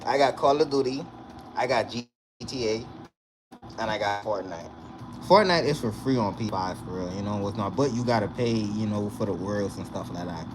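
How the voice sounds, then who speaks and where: A young man from the Bahamas, vlogging in a casual, animated way and often checking in with the viewer. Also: lowish voice, moderate pace, music in the background. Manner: animated, repeats "you understand?" to the viewer. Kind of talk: casual direct-to-camera chat. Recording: noisy recording with vehicle sounds behind.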